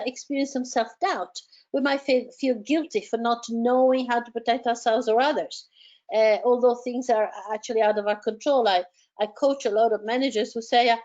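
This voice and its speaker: Woman, hesitant with many restarts, coaching talk, slightly high pitch, dull lo-fi audio. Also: a little mellow. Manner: hesitant, many repeated words and fillers. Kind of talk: advisory talk on coping with stress.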